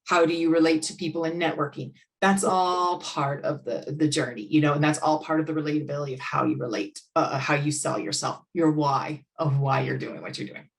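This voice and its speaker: A woman's voice: low voice, steady tone, gravelly. An outgoing American woman, a guest expert, chatting casually about business and restarting her sentences often. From United States. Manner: outgoing, speaks in quick bursts with restarts.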